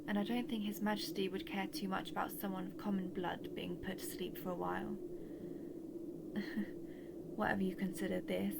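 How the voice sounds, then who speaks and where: Loud ambient noise surrounds a lovesick villainous sorceress, acted by a woman in an intimate fantasy audio drama. Her voice is breathy and often half-whispered, with a creaky edge. She speaks softly and deliberately in a narrow, even melody, wistful and possessive. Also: mid-range voice, natural voice. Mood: quietly menacing. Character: lovesick former-villain sorceress, manipulative, faintly remorseful. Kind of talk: intimate scripted audio roleplay monologue. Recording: noisy, with loud ambient sound behind.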